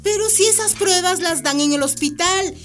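A woman's voice: extremely high pitch, normal speed, fairly bright tone. A woman playing an honest, pious neighbourhood shopkeeper in a radio drama, with a folksy, exclamatory way of talking. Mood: wary, refusing. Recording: music bed behind the voice.

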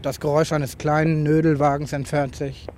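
A man's voice: on the high side, little pitch movement, normal speed, darkish tone. A man speaking an improvised, introspective monologue into a tape recorder in fragmented observations. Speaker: writer. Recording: noisy home tape recording.

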